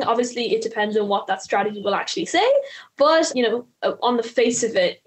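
A teenage girl from Ireland, a climate activist speaking frankly and emphatically in a panel discussion. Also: medium pitch. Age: teens.

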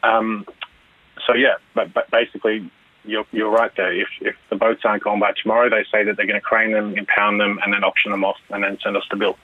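Low flat voice on a phone line. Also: male voice, a little fast, no room sound. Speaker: caller. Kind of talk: radio phone-in call. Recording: dry tinny phone line.

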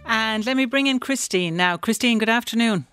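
A woman's voice: a little high, normal speed, a bit bright, soft background music. An Irish woman hosting a radio phone-in, gushing with enthusiasm and laughing easily. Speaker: radio host.

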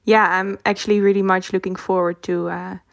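A woman's voice: medium pitch, little pitch movement, a little fast, creaky, dry recording. A woman with a Dutch accent is a guest on a radio show. She speaks English hesitantly and stops often to search for words. The sound is dry and close. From the Netherlands. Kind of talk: interview.

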